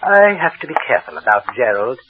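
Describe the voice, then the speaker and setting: An actor playing a fussy, ghoulish middle-aged undertaker who talks in a prim, unctuous, sing-song way, in a 1940s radio drama. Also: male voice, medium pitch, big pitch swings, quick pace, noisy background, natural voice. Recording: dull 1940s radio recording.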